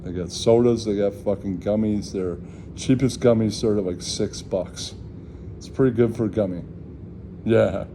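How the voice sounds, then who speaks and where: A man's voice: lowish voice, moderate pace, background noise. A man vlogging in a casual, rambling chat, swearing freely and repeating his catchphrases.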